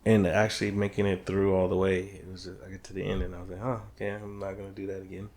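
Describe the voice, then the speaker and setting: A man's voice: sits low, flat delivery, fast, a little mellow. A man co-hosting a casual movie discussion, speaking hesitantly and often repeating his words. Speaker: show co-host.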